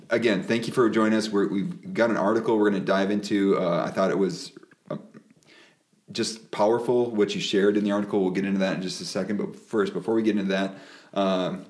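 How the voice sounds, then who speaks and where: A man hosting a podcast in a casual, conversational way, with informal, enthusiastic affirmations. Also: fairly low pitch. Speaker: podcast host.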